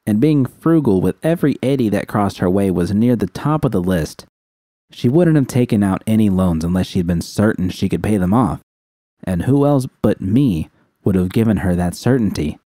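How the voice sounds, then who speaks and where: A man's voice: lowish voice, mellow, no room sound, clean studio sound. A man reading scripted first-person fiction as an audiobook narrator.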